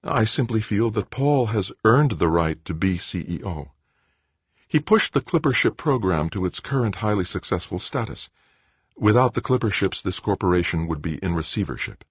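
A man voicing a calm, calculating female executive in an audiobook, with a composed and diplomatic manner. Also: fairly low pitch, moderate pace, breathy edge, no room sound, voice pushed up. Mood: calm, persuasive. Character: calm, cool, calculating executive woman, mother of an heir.

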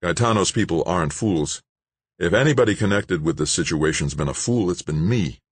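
A man's voice: low voice, animated, a little fast, breathy edge, dry recording. A man voicing a determined, self-blaming hero in a novel, thinking aloud in a wry, muttering way. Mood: rueful.

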